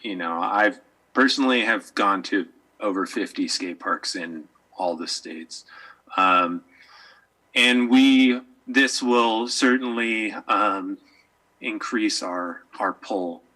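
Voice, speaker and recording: Slow, low-voiced man speaking earnestly. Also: pushed and bright, poor audio. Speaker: skateboarder speaking for a skate park project. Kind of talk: public meeting remarks.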